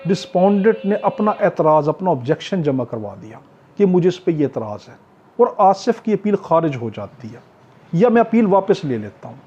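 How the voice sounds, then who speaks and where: A man's voice: on the high side, sing-song, moderate pace, mellow, breathy edge, faint music behind. A man with a Pakistani accent lecturing as a law tutor, explaining point by point, posing questions and answering them himself with much repetition. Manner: didactic, poses questions then answers them, repeats key points. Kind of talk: exam-prep lecture, explaining statutes point by point. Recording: dull, lo-fi, muffled sound.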